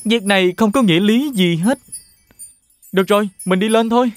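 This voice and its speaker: A man's voice: sits high, normal speed, dry recording, lightly acted. A man voices a poor young fairy-tale hero in a cartoon. He sounds kind but wary. Faint music runs underneath. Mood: puzzled. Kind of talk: animated fairy tale dub.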